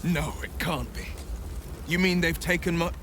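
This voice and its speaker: An actor playing a gallant, earnest young warrior hero in a video game, courtly and gently teasing in his speech. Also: a little high, measured pace, slight background noise. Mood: concerned, resolute. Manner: courtly, eloquent phrasing with soft hesitations. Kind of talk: video game dialogue acting.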